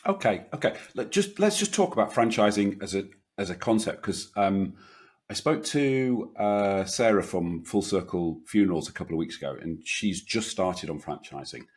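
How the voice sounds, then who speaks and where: A man's voice: low-pitched, animated, moderate pace, husky. A man hosting a business podcast, stumbling and restarting as he asks his questions. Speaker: podcast host. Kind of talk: podcast interview.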